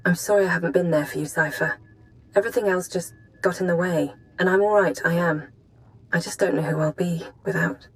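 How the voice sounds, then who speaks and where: Female actor playing brilliant recovering captive woman, lowish pitch, prickly, wry, precise, clipped phrasing, video game dialogue. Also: a little fast, lightly acted, rough recording. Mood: vulnerable, insistent.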